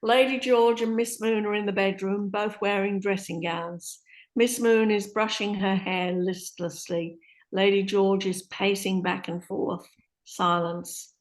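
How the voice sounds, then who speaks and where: A woman's voice: medium pitch, steady tone, unhurried. A woman reading out stage directions as scripted narration for a play.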